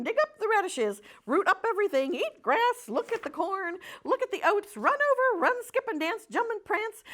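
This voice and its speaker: A woman's voice: mid-range voice, a bit bright. A woman voicing a bossy, chattering female goose who repeats her words and stammers.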